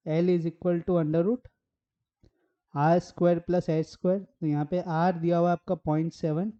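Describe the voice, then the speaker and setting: A hoarse man teaching maths at a whiteboard. He works through each step and keeps asking rhetorical questions as he goes. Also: fairly high pitch, little pitch movement, a little slow, lo-fi sound. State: hoarse from a sore throat.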